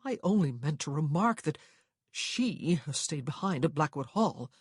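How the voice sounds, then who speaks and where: Man voicing a steady, guarded young man, husky. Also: on the high side, moderate pace, dry recording, studio quality. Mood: defensive. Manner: firm, reassuring, direct. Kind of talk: audiobook character dialogue.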